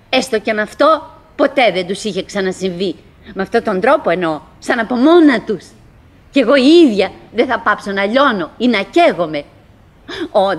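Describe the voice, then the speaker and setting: An actress playing a chatty, wistful middle-aged wife who clings to brave cheer, in a halting, dramatic radio play monologue. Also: female voice, high voice.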